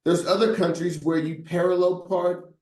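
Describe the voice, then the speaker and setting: This is a middle-aged man sharing stories in a relaxed interview. He has a soft, mellow tone and keeps checking in with rhetorical "right?" asides. His voice rings a little in an echoey room. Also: high-pitched, normal speed. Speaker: guest, brand designer. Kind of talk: casual interview chat.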